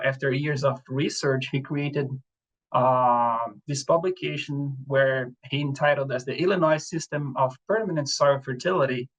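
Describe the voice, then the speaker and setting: A man from Brazil with a Brazilian Portuguese accent, presenting a lecture over a video call in a relaxed way, with frequent fillers and restarts. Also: fairly high pitch, rough recording. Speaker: presenter, assistant professor. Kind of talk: webinar lecture.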